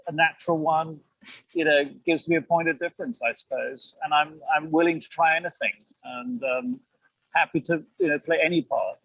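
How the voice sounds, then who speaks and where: An older British man speaks in a slightly high register, reflecting in conversation as an interview guest. He is self-deprecating and hedges as he goes, and the sound is close and dry. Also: moderate pace, vocal fry, fairly bright tone, dry recording, dull audio. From England. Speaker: amateur actor, interview guest.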